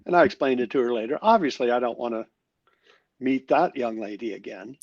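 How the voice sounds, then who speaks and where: A man's voice: medium pitch, animated, brisk, a little mellow, no echo. Storytelling man on a dull lo-fi line. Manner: anecdotal storytelling, conversational. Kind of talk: casual conversation. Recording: dull lo-fi sound.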